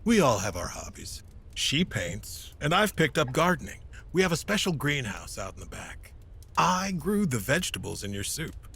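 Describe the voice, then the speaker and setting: A man playing a knight in an audio drama, with a husky voice. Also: medium pitch, big pitch swings, moderate pace, a bit bright, exaggerated voice. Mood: calm, genial. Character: older veteran knight telling stories, also his eager younger self. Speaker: lead character actor.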